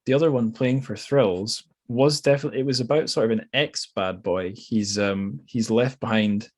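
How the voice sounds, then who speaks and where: A man's voice: mid-range voice, normal speed. A man hosting a podcast, talking casually and tentatively about books as he thinks aloud. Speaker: podcast host. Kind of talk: casual book discussion.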